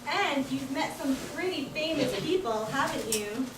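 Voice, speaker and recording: A good-natured puppet played by a woman talks high and slowly, with deliberate stage delivery. The voice comes from far off in a roomy, noisy space. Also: lively intonation. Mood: admiring. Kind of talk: amateur live puppet show dialogue. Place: indoor library puppet show, far microphone.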